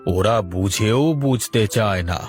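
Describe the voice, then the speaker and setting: A man voicing a serene, wise guru in a cartoon, preaching solemnly in drawn-out phrases. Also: on the low side, a little mellow, faint music behind. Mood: solemn, benevolent. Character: serene wise guru blessing and instructing his disciple. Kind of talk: cartoon story dialogue.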